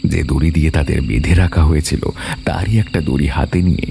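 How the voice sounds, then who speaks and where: A man narrating a suspense story as scripted drama, with solemn, atmospheric, deliberate phrasing. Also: a bass voice, fairly even intonation, creaky, dark tone, a little hiss or hum.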